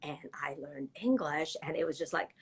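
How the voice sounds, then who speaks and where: A woman's voice: low-pitched, expressive, normal speed, slightly rough. A middle-aged woman, a children's book author whose first language is Cantonese, chatting animatedly and laughing often in a live interview. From Canada. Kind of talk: casual live interview chat.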